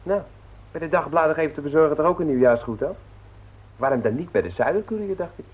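An actor playing a smug, self-satisfied young schemer with a colloquial way of talking, in a 1960s radio play. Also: male voice, on the high side, fast, breathy edge, narrow, tinny audio. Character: sly, self-satisfied young male small-time schemer.

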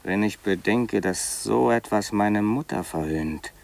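A man's voice: lowish voice, measured pace, gravelly, darkish tone. An actor playing a drunken, insolent young man who sneers and taunts in a 1950s German radio drama. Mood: sneering contempt. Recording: faintly noisy 1950s radio recording.

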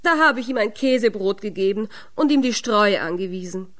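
A woman voicing a miller's scheming wife, falsely sweet and flustered, in an expressive storybook reading. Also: medium pitch, brisk, lightly acted.

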